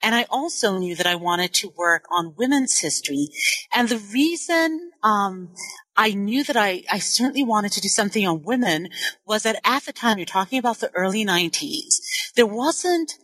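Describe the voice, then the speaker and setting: A woman historian in her forties, born in Nigeria and a native Igbo speaker, tells her story in a measured, thoughtful way. She corrects herself now and then as she goes. Her voice sits in the middle range and sounds close and dry, with no room around it. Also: mid-range voice, animated, fairly bright tone, no room sound, poor audio. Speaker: historian and author. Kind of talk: interview, personal account.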